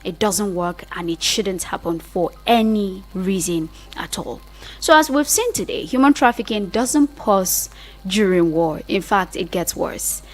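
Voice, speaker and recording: A woman's voice: medium pitch, darkish tone. A woman radio host with a Nigerian accent speaks live on air. Her delivery is conversational and earnest, addressing listeners directly as she urges them to take part.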